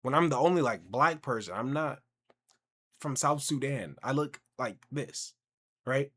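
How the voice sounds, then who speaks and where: A young man with a mid-pitched voice hosts a casual reaction commentary. He talks in an animated, conversational way with plenty of slang. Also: unhurried. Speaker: reaction video host.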